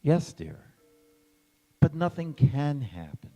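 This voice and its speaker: A man acting in a stage radio play as a fond, gently teasing husband, indulgent and theatrical in his delivery. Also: on the high side, very animated, slow, highs cut off, faint music behind, heavily acted. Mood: reassuring, teasing. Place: large reverberant church or hall. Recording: echoey hall.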